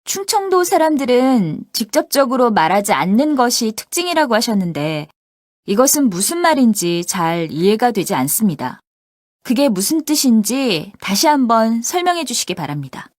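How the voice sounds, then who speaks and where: A woman's voice: a little high, very animated, normal speed, no echo, studio quality. A woman reading scripted questions in a language-lesson recording, polite, careful and formal. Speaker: textbook audio voice actor.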